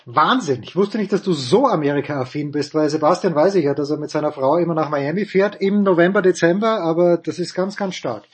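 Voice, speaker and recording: A man's voice: a little high, breathy edge. A man with an Austrian accent hosting a casual sports talk show, joking and teasing his panel. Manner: jokey, teasing, quick moderator. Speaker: host.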